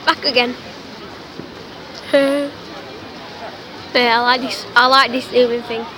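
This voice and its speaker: A woman with a slightly croaky voice, chattering excitedly as she vlogs with a handheld phone at an outdoor bonfire, repeating exclamations and calling people mate. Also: fairly high pitch, slow, rough recording. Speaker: vlogger. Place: outdoor bonfire event with a big crowd. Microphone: handheld phone camera. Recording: outdoor phone audio with crowd and noise. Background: crowd chatter and outdoor noise.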